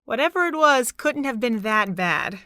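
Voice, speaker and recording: A woman's voice: a little high, expressive, normal speed, bright, forceful tone, dry recording. A young woman playing a chirpy, caring and nosy college student in an amateur audio drama. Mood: pressing.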